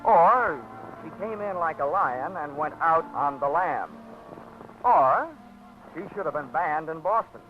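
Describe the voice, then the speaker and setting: A man's voice: a little high, animated, measured pace. An actor playing a dry, wisecracking insurance investigator who narrates his own case in a 1940s radio drama. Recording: dull old radio broadcast with music.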